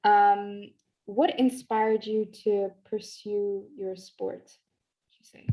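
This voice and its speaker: Mellow-voiced young woman moderating a panel. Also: medium pitch, level tone, takes it slowly, rough recording. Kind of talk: hosting an online panel.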